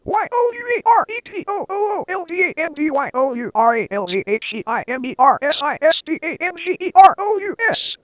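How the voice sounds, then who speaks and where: A man voicing a blustering male official who shouts in fury, spelling every word out letter by letter. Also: sits high, expressive, slow, no room sound, highs cut off. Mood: furious.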